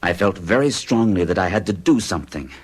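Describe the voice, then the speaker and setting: An actor playing an anxious traveler who recounts strange events to a doctor in a radio drama, with a husky, agitated delivery. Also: male voice, low voice, animated, fairly quick. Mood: uneasy. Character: anxious American traveler narrating his ordeal. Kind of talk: 1970s radio drama acting.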